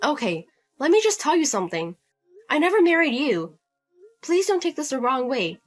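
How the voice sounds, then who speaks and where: A woman's voice: sits high, big pitch swings, normal speed, soft background music, voice pushed up. A woman voicing a fed-up young wife who answers curtly and repeats herself firmly. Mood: defiant.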